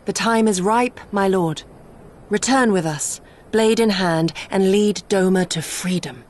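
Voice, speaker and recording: A woman's voice: medium pitch, unhurried, lightly acted. A woman as an earnest, loyal warrior retainer. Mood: earnest, stirring. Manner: formal, deferential. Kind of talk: fantasy game cutscene acting.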